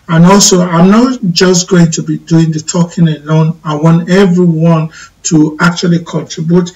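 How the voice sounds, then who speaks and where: Nigerian male host, slightly high voice, halting delivery, sits back from the mic. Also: darkish tone, recorded from a distance, dull audio. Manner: hesitant, many ums and restarts. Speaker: radio show host. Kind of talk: live call-in talk show. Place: studio in the UK.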